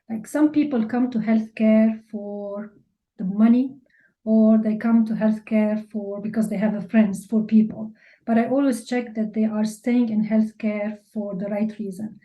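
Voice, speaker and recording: A woman's voice: on the high side, phone-quality sound. A woman from Lebanon with a Lebanese accent, answering interview questions candidly and informally, often saying "like". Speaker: interview guest, business leader and mentor.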